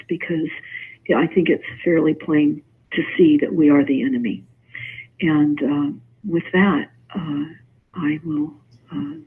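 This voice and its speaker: A woman speaking haltingly as a participant in an online panel discussion. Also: sits low, husky. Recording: thin phone-like, radio-style online connection.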